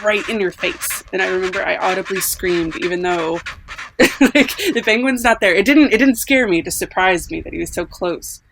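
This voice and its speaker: A woman's voice: fairly low pitch, creaky, a bit bright, slight background noise, low-quality recording. A woman from a podcast team chatting informally, often dropping "like" into her sentences. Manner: informal, peppered with "like". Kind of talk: casual chat.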